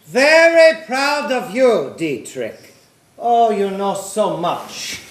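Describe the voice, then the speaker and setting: Male actor as theatrical Nazi police captain: high-pitched, mocking, slow and deliberate, echoey stage sound. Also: sing-song, exaggerated voice. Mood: mocking, coaxing. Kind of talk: stage play acting. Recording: echoey stage recording with noise.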